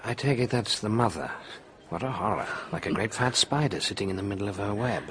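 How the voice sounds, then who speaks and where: Observant gentleman doctor, deepish, very varied pitch, measured, probing, radio play, slight background noise. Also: animated. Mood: probing, intrigued. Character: celebrated, keenly observant psychologist, urbane gentleman doctor. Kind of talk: radio drama acting.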